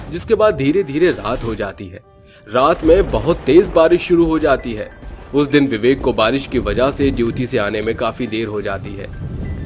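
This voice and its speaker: Man narrating a cartoon story, medium pitch.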